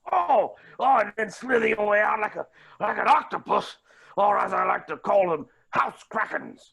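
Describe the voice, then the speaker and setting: A man's voice: high voice, lively intonation, a little slow, gravelly, fairly bright tone, husky, heavily acted. A man acting a gleefully cruel billionaire villain in a staged play reading, with pompous, melodramatic, swooping delivery. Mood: manic glee.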